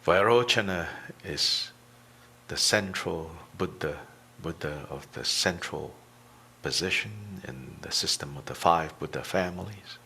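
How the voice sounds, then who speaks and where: A man teaching a guided meditation, speaking deliberately in short phrases with long pauses between them. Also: deep voice, lively intonation, creaky, pushed and bright, breathy edge.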